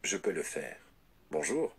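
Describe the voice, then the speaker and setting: A male computer voice assistant giving evasive, witty canned replies in a smooth synthetic voice. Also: extremely low pitch, big pitch swings, measured pace. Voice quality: smooth synthetic text-to-speech voice. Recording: noisy phone speaker.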